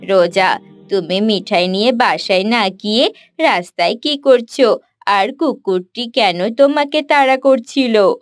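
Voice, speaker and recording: Woman as a firm, lower-pitched older brother. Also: measured pace, no room sound, soft background music, close to an everyday voice. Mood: scolding. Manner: firm, admonishing. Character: stern, protective older brother. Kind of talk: children's moral cartoon dialogue.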